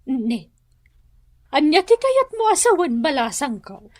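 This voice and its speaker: Long-suffering mother, Ilocano accent, high voice, unhurried, reproachful, dry radio drama sound. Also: very animated, darkish tone, dry recording. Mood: indignant. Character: long-suffering, protective village mother, reproachful but loving.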